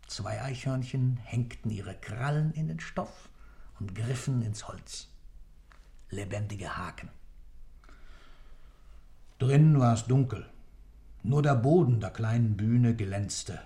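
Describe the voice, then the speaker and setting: A man's voice: mid-range voice, slow, long pauses, mellow. An actor playing an accused man who tells a story in an intense, theatrical, emphatic way, sometimes dropping to a whisper, in a literary radio play. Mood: vivid narration.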